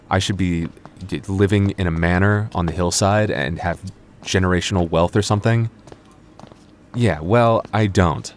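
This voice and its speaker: A man acting a flustered young vampire store clerk in an audio roleplay, stammering nervously and awkwardly. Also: deep voice. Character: flustered young male vampire working convenience store night shift. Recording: faint background noise.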